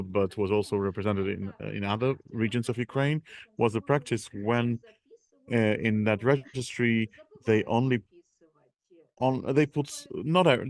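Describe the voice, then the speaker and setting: A man with a fairly low voice works as a live interpreter at a seminar. He builds his sentences piece by piece, haltingly, often repeating a word before moving on. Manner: halting, piecing phrases together with repeats.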